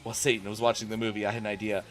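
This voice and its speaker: A man's voice: lowish voice, little pitch movement, racing, fairly bright tone. A man doing a comic dramatic reading as a streamer, with exasperated, incredulous asides. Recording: music playing behind the voice. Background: music.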